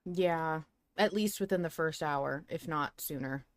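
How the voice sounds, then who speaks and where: A woman with a slightly low voice, recorded close and dry with no room sound. She hosts a casual fan podcast and talks in a conversational way. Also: unhurried, no echo. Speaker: podcast host.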